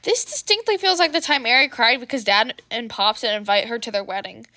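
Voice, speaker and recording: A woman's voice: on the high side, fairly quick, bright, forceful tone, no room sound, studio quality. A woman voicing a mischievous, theatrical teenage son who needles the family in an audio fan drama.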